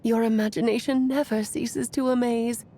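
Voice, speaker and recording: A woman voicing a playful, caring magical friend who praises warmly and warns gravely. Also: on the high side, moderate pace, a little hiss or hum, close to an everyday voice. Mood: earnest. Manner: expressive, swinging between bright praise and grave caution. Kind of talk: video game voice acting.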